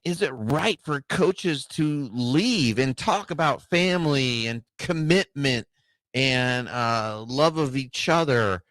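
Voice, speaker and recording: A man co-hosting a sports talk show in a studio, telling a story in a rambling, halting way. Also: mid-range voice, expressive, fairly bright tone, no echo. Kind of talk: casual chat. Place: radio studio.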